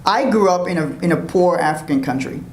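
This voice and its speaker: A man tells his life story as an interview guest. He speaks earnestly and restarts phrases as he thinks. His voice is slightly high, and the room has a noticeable echo. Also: expressive, brisk. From Liberia. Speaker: guest, financial professional.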